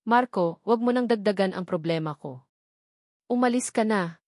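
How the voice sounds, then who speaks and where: A woman's voice: medium pitch, normal speed, darkish tone, dry recording, studio quality, lightly acted. A Filipino woman storyteller voicing a proud, weary single mother with a firm, unyielding manner.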